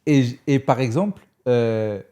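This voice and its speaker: A man hosting a podcast interview, chatty and expressive, often laughing along with his guest. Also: medium pitch, measured pace. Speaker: podcast host. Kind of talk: casual interview chat.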